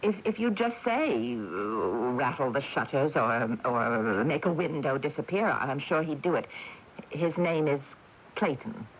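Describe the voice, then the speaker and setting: An earnest, polite woman in a radio play, her voice animated and widely varied in pitch. The recording carries faint radio noise. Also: measured pace. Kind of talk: radio drama acting. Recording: noisy AM radio tape.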